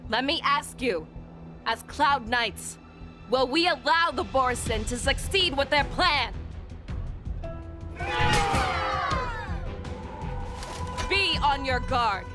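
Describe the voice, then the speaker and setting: A woman voicing a commanding female general who speaks in a measured, instructive way and rallies her troops. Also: high voice, expressive. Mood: rallying. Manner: measured, instructive, rousing when addressing troops. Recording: studio game dub over loud music.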